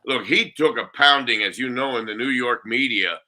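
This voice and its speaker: A man with a very bright, sharp-edged voice joins a casual sports talk show as a guest broadcaster. He gives quick, confident opinions and speaks easily about his travel. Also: medium pitch, poor audio.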